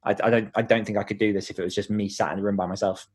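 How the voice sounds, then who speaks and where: A man's voice: lowish voice, little pitch movement, very fast, breathy edge. A man speaking casually as a podcast guest, an author who explains things in a chatty way with imagined examples. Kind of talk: casual interview conversation.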